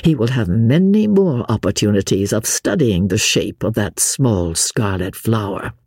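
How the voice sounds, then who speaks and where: An audiobook reader voicing an ardent young English gentleman, solemn and full of admiration. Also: medium pitch, lively intonation, a little slow, slightly rough, dry recording, clean studio sound, voice pushed down. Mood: confident.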